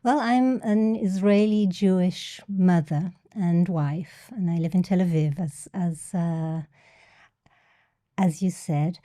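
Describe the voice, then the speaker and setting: A woman's voice: fairly low pitch, slow pace, warm tone, no echo, clean studio sound. A middle-aged woman with an English accent, a podcast guest speaking hesitantly and often repeating words. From England. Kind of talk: casual interview.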